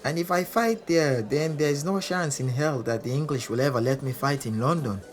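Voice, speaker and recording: A man's voice: fairly high pitch, normal speed, faint music behind, natural voice. An actor playing a proud, defiant world champion boxer who narrates his own story in a boastful, wry way for an audio drama. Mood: indignant, proud. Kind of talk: audio drama monologue and dialogue. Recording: clear background noise and ambience.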